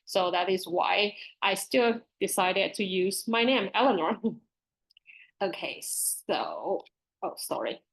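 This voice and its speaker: A middle-aged woman presents informally over a video call in Taiwanese-accented English. Her pitch is mid-range for a woman. She feels her way through sentences haltingly, repeating words as she goes. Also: lively intonation, gravelly, low-quality recording. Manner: halting, with frequent repeated words and nervous laughter. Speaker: presenter, school librarian and author.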